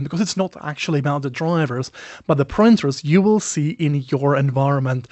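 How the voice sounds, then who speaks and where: A man's voice: on the high side, a little mellow, dry recording, muffled recording. A man who is not a native English speaker, giving a chatty, self-deprecating webinar presentation over a video call, often saying "you know". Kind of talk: conversational product presentation.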